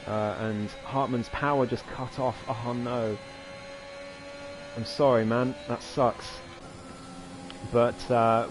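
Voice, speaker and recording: A hoarse man giving live commentary on an online racing league, his voice climbing excitedly through each overtake. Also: fairly high pitch. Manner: rising excitedly during overtakes, chatty asides. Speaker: race commentator.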